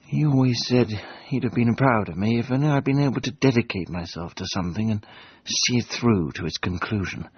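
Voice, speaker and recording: An actor playing a pompous, grandiloquent English gentleman adventurer with an English accent, in a radio drama. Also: mid-range voice, fairly quick, few pauses, husky, dull audio, lightly acted. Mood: subdued, grieving. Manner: grandiloquent, declamatory.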